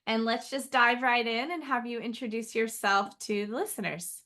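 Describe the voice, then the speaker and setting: A woman's voice: fairly high pitch, moderate pace, sharp tone. A woman hosting a podcast interview, chatty and loose, with lots of likes and you-knows in her questions. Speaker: podcast host.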